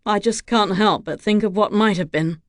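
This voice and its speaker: A woman's voice: mid-range voice, moderate pace, no room sound, clean studio sound, lightly acted. A woman voicing a grieving young lord. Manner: gentle, sorrowful, sighing.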